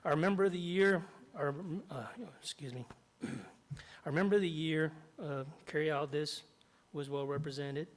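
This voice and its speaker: A middle-aged man, slightly hoarse after jaw surgery, reading prepared remarks at a podium in a large meeting hall and stopping often to clear his throat. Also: on the high side, steady tone, normal speed. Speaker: union chapter president. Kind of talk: public comment at a board meeting. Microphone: podium microphone.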